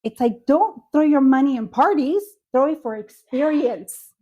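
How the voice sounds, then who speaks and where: Mexican-born woman, lively storytelling. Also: high-pitched, moderate pace, lo-fi sound. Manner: animated storytelling, drops Spanish phrases. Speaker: guest. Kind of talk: casual podcast chat.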